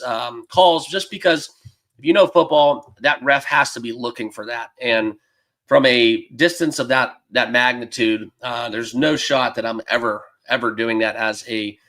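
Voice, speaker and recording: A man hosting a sports betting livestream, slightly hoarse as he loses his voice, talking loosely with many fillers and greeting viewers by name. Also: medium pitch, pushed and bright. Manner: loose and rambling, lots of fillers. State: losing his voice, a bit hoarse. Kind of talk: casual livestream chat. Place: dedicated home studio room.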